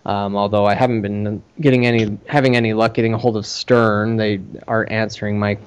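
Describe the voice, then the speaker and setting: A man hosting a podcast, chatting casually in a rambling, halting way about his own business. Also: on the low side, level tone, clean tone. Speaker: podcast host and arcade owner.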